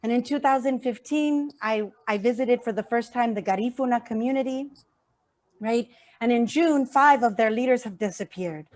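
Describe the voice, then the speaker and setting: A woman's voice: on the high side, moderate pace, darkish tone. A woman speaks as an activist at an online event. She is earnest and heartfelt, repeating key phrases for emphasis. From San Francisco Bay Area, USA.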